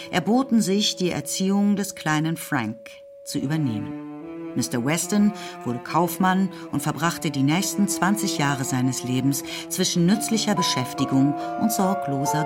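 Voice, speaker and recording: A woman narrating a radio drama in a measured, composed way. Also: fairly low pitch. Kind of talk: scripted literary narration.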